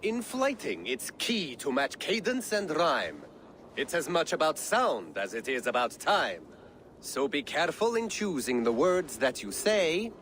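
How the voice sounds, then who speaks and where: A man's voice: fairly high pitch, very animated, measured pace, a bit bright, slight background noise. An actor voicing a playful older male poet and mentor, who speaks with theatrical, rhythmic delivery in a video game cutscene. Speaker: game character.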